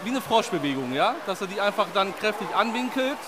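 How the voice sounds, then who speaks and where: A young man explains things as a swimming instructor. His voice is high, and there is clear pool noise around him. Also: big pitch swings, a little fast. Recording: noisy swimming pool surroundings.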